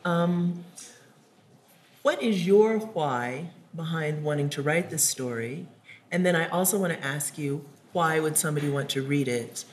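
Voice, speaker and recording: Woman, low voice, writing trainer, encouraging questions, mock interview, faint room hum. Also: measured pace. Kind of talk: coaching a student in a mock interview. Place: classroom workshop, indoors.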